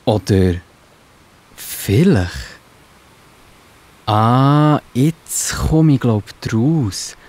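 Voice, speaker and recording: A man playing a slow musing apostle. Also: mid-range voice, expressive, a little mellow. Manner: musing, pondering aloud. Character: Peter, thoughtful kindly bearded apostle man. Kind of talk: children's audio drama acting.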